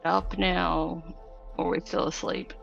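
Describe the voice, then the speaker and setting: A woman talks casually during a tabletop game. Her voice is medium in pitch with heavy creak. The recording sounds dull, with faint music beneath. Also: little pitch movement, slow. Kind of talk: casual chat.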